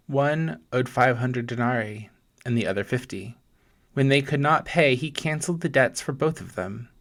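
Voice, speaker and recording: A man, a pastor gently and deliberately guiding listeners through a scripture meditation in short, paused phrases. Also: medium pitch. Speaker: pastor leading a guided meditation. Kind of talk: slow guided meditation with scripture storytelling.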